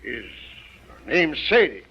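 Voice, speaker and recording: An actor playing a nervous, evasive bar owner in an old-time radio crime drama, hesitant and ingratiating toward the police. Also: male voice, medium pitch, very animated, unhurried, slight background noise. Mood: nervous, placating. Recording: muffled vintage radio recording.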